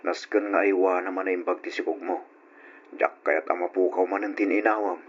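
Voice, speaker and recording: A man's voice: low-pitched, steady tone, normal speed. A man playing an anxious, protective husband in an old-style radio drama. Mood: worried, coaxing. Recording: dull radio audio, faint noise.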